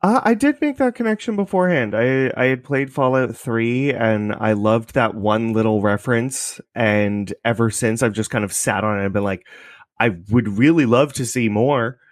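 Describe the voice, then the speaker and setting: A man's voice: medium pitch, moderate pace, no echo, clean studio sound. A man from Canada chatting casually as an interview guest, laid-back and reflective. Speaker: podcast creator, interview guest.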